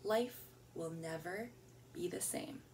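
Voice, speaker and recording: A young woman teacher giving an online lesson from her home, speaking gently and encouragingly to children and reading a poem aloud. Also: lowish voice, slow pace, recorded from a distance. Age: young adult. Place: home room with plants and shelves, indoors. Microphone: webcam at desk distance.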